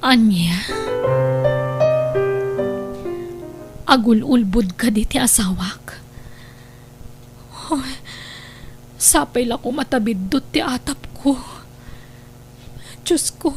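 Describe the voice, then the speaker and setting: A woman's voice: on the high side, a little hiss or hum. A woman with an Ilocano Filipino accent playing a worried, suspicious wife and mother in an audio drama. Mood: hurt, suspicious. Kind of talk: Ilocano radio-style drama acting.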